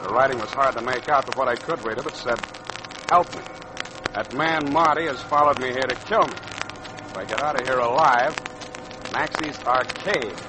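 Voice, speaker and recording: An actor playing a cynical, tough private detective with clipped, wisecracking delivery in a 1940s radio drama. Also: male voice, medium pitch, normal speed, lightly acted. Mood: wry narration. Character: hard-boiled cynical male private detective narrating his case. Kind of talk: 1940s radio detective acting, first-person narration. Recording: noisy old-time radio recording.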